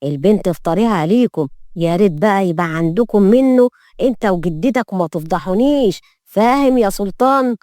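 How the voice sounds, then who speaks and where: A woman's voice: mid-range voice, expressive, a little fast, dark tone, dry recording, studio quality, natural voice. A woman playing an easygoing Egyptian male friend. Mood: casual. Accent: Egyptian Arabic. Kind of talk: Egyptian Arabic audio story acting.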